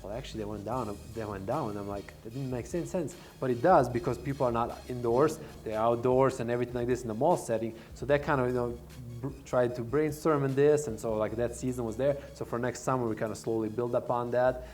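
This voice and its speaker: Fast-talking man from Serbia. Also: mid-range voice, fairly even intonation, a little mellow, soft background music. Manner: rambling, many fillers and restarts. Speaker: restaurant owner. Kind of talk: casual interview answers.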